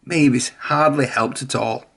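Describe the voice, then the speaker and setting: A man's voice: medium pitch, a little slow, vocal fry, fairly bright tone, slightly rough. A man reading a children's storybook aloud, narrating expressively. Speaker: storybook reader.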